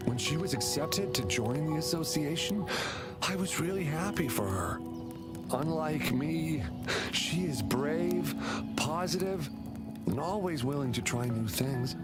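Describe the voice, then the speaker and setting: An actor playing an anxious, devoted young older brother in a video game. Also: male voice, medium pitch, close to an everyday voice. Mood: tender confession. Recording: loud music behind the voice. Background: loud game music.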